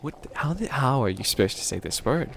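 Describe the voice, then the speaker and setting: A man acts out a bumbling male witch who dreams of villainy, with a crackly, fry-edged voice. He stammers and rambles defensively straight to the listener in a comedic ASMR roleplay, over clearly audible watery background noise. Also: medium pitch, sing-song, brisk, fairly bright tone. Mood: frustrated. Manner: stammering, rambling, easily flustered.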